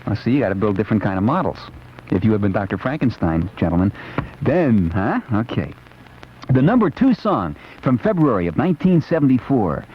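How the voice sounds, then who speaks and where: An American man works as a late-night radio DJ, his pitch swinging freely as he chats. He is playful and rambles conversationally. The sound is a dull, noisy 1970s AM aircheck. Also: sing-song, fairly quick. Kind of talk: casual 1970s music radio chat. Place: radio studio.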